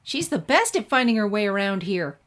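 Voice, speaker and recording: Woman putting on a perky high-pitched teen girl. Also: sing-song, fairly quick, fairly bright tone. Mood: cheerful. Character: bubbly, cheerful, sugar-loving teenage girl.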